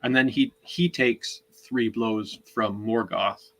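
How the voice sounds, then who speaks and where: A man's voice: lowish voice, steady tone, slow, fairly bright tone. A man co-hosting a book discussion, explaining things in a knowledgeable, conversational way. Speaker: co-host.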